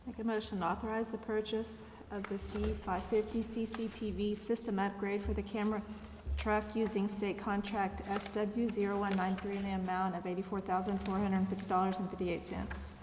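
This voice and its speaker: Councilwoman reading a motion, monotone, echoey hall, noisy. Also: medium pitch, fairly quick. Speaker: council member making a motion. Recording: very echoey chamber, clear background noise.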